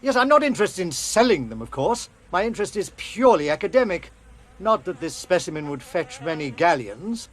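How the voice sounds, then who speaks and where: A man's voice: high voice, big pitch swings, a little fast, fairly bright tone, exaggerated voice. A man voicing a fussy, jovial older British potions professor in a video game.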